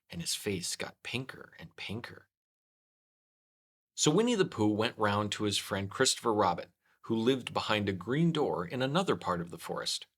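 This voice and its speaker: A man reading a children's story aloud in an expressive scripted narration. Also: on the low side. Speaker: storyteller, host.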